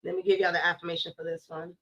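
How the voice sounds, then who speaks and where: A woman giving a casual tarot reading to her viewers, playful and blunt, cursing now and then and slowing down to recite affirmations. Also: lowish voice, flat delivery, pushed and bright, highs cut off. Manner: playful, blunt, swears, addresses viewers as y'all. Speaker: tarot reader.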